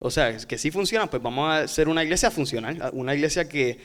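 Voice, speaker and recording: Higher-pitched Puerto Rican male guest. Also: expressive, fairly quick, a bit bright. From Puerto Rico. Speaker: podcast guest, pastor's son. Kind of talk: casual explanatory chat.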